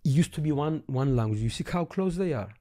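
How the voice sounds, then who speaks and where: A man's voice: fairly high pitch, animated, brisk, mellow. A man with a Greek accent, teaching history in a casual lesson, eagerly explaining and thinking aloud as he points things out.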